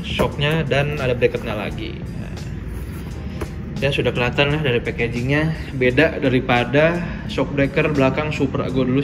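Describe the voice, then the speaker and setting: Young man, medium pitch, casual slangy vlog chat, explanatory, music playing behind. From Indonesia. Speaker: motorcycle modification vlogger.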